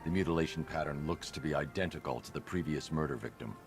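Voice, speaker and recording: An actor playing a grim, driven vigilante detective in a video game, gravelly, clipped and grave. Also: male voice, a bass voice, quick pace. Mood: grave, focused. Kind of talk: dramatic video game acting. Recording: voice under clear background music.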